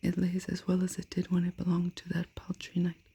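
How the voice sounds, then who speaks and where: A woman's voice: lowish voice, monotone, brisk, warm tone. A woman whispering as a man-eating giant. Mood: smug. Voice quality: breathy. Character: thundering man-eating giant, greedy and gruff.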